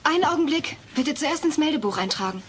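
A young actress playing a helpful teenage daughter in a sitcom audio play, polite and chirpy. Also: female voice, high voice, expressive, quick pace, fairly bright tone, a little hiss or hum. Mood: mock formal.

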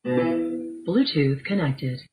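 Slow, husky female device prompt. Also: deep voice, lively intonation, a little mellow, soft background music. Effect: small gadget speaker playback.

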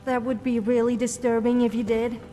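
Female game companion, young adventurer, tactless and deadpan, highish pitch, music behind played by an actress. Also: brisk, close to an everyday voice. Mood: curious, teasing. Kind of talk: video game character dialogue.

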